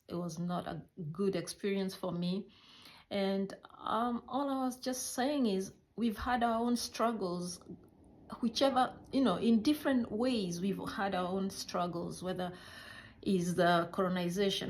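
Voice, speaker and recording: A middle-aged woman with a Kenyan accent telling personal stories in a halting, reflective way, searching for words; English is not her first language. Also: fairly low pitch, vocal fry. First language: a Kenyan mother tongue. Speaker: healthcare worker recounting her life. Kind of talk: personal storytelling.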